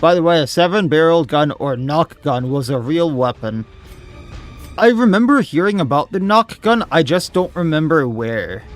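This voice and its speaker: A man streaming a game, chatting casually, laughing often and reading chat aloud, his voice going hoarse. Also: a little high, lively intonation, normal speed, soft background music. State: losing his voice. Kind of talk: casual gameplay commentary.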